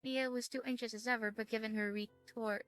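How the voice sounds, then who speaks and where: Bright, high-voiced woman hosting a let's-play. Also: steady tone, a little fast, no room sound, soft background music. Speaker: gaming video host. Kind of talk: casual let's-play chat, reading game text aloud.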